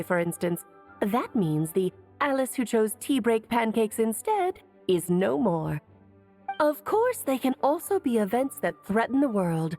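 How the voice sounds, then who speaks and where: Playful, high-voiced theatrical sorceress. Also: female voice, a little mellow. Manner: teasing, theatrical, eloquent. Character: playful, worldly sorceress mother, airy and theatrical. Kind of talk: video game character acting.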